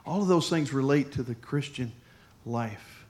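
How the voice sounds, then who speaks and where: Male pastor, mid-pitched, chatty sermon delivery with anecdotes and quick asides. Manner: conversational storytelling, lively asides. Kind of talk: sermon with personal story.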